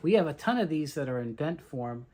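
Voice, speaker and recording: Conversational collector with a mid-pitched voice. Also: expressive, fairly quick, mellow. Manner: conversational, explanatory, enumerating. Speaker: collector presenting a tape reel collection. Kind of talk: informal show-and-tell commentary.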